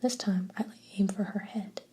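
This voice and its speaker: A woman voicing a teasing, cocky boy in a breathy whisper. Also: mid-range voice, fairly even intonation, normal speed, warm tone. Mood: mocking. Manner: whispered, mocking.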